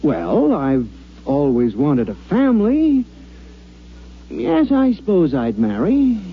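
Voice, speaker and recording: An actor playing a mild, courteous, hesitant geologist in a 1950s radio drama. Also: male voice, a little high, wildly swooping pitch, slow, slightly rough, exaggerated voice. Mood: shy, wistful. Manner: earnest, hesitant, prim and courteous. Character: mild, polite, shy little bespectacled male geologist. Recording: dull, noisy old radio recording with hum.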